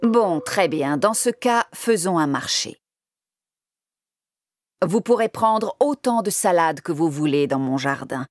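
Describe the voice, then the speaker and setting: A woman's voice: fairly low pitch, expressive, slow, dry recording, studio quality, soft background music. A woman voicing a stern, haughty witch in an acted storybook tale, speaking with deliberation. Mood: scheming, bargaining. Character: menacing, bargaining old witch, stern and possessive. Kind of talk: storybook audio drama acting.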